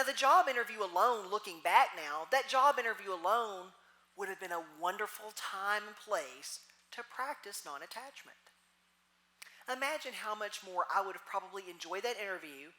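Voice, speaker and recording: A middle-aged woman minister with a Texas accent, preaching a gentle, reflective sermon that slows into soft pauses. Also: on the low side.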